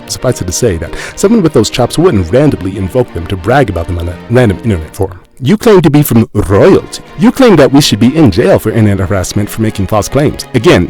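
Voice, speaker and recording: Man playing a quick, sardonic, articulate debunker. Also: medium pitch, a little mellow, lightly acted. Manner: blunt, dry, analytical. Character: sardonic, articulate forum member dismantling a braggart's claims. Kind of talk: dramatic reading of a written rebuttal. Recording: music under the voice.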